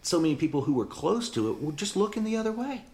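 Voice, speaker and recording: A man hosting an interview, teasing and opinionated, with quick interjections. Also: high voice, sing-song, darkish tone. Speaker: interview host. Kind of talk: conversational interview.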